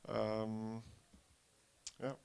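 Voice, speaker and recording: Flat, gravelly man introducing himself. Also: on the low side. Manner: halting, with many fillers. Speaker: panel speaker. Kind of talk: self-introduction.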